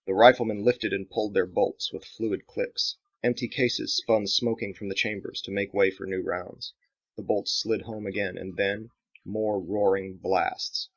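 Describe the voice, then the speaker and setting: A man's voice: on the low side, level tone, measured pace. A man reading his own dramatic action novel as scripted audiobook narration, in a slightly dull recording.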